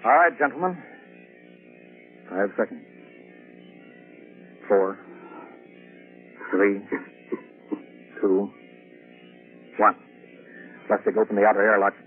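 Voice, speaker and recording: Commanding spaceship captain, tinny old radio. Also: male voice, on the low side, expressive, takes it slowly, long pauses, soft background music. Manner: clipped, authoritative orders. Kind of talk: 1950s radio drama acting.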